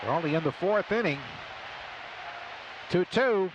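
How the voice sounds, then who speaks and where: A man's voice: lively intonation, a little slow, muffled recording, noisy background. An older American man doing conversational live radio baseball play-by-play from a stadium booth. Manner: conversational, storytelling between pitches. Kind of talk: live radio sports commentary.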